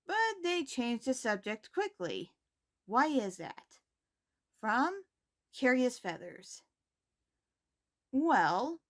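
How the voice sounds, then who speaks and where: Lady as high school principal doing announcements, high voice, super lively intonation, formal n measured. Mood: earnest. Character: poised, caring high school principal giving morning announcements. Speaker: host reading school announcements. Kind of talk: scripted announcement.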